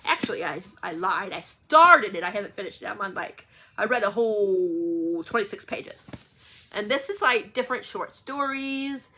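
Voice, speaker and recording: A woman's voice: mid-range voice, expressive, moderate pace, sharp tone, poor audio. A chatty woman book vlogger talking casually and unscripted to viewers, rambling and correcting herself as she goes. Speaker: book vlogger hosting a read-along.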